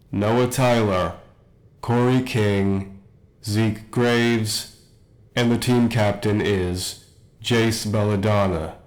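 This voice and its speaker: A man playing an academy principal who makes formal, measured announcements in an audio roleplay. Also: on the low side, darkish tone.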